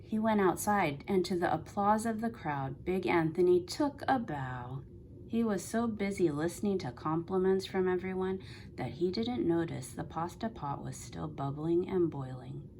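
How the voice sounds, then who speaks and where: A woman reading a children's story aloud with expressive storytelling delivery. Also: on the low side, gravelly, a little hiss or hum. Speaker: storybook reader.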